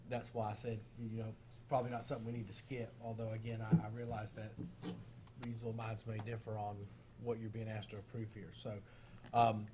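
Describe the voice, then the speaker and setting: A man's voice: medium pitch, noisy background. Man with a froggy sore throat. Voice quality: a little froggy. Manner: careful, hedging, many fillers. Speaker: town attorney. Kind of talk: explaining at a public meeting.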